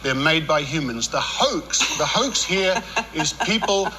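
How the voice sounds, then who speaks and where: Bright, emphatic Australian man in a debate. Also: a little high, expressive, a little slow, slight background noise, low-quality recording. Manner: emphatic, dismissive. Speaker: skeptic debating on a broadcast. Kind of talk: broadcast debate.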